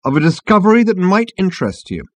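An exasperated male traveller in a comic storybook, acted by a man. His pitch leaps high and swoops with incredulity, and he retorts sharply and emphatically. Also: sing-song, quick pace, a little mellow, no echo. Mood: insistent.

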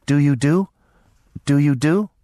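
A man's voice: a little high, measured pace, mellow, dry recording, voice pushed up, close to an everyday voice. A simple-minded young girl in an audiobook, played by a man, speaks in a raised, thick, weak voice. She sounds childlike and parrots her phrases over and over. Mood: confused, parroting. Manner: repetitive, childlike. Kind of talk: audiobook character voice.

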